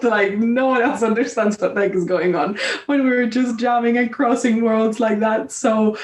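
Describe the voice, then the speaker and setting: A woman's voice: mid-range voice, roomy sound, distant mic. A Colombian woman with a Spanish accent, guest on a podcast, chatting openly and laughing often. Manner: warm, giggly, expressive. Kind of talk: casual conversation.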